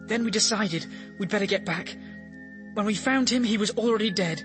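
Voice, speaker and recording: A performer playing a controlling, coldly insistent older child in a radio drama, speaking in a deliberate, commanding way. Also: mid-range voice, music in the background, lightly acted. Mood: insistent, controlled.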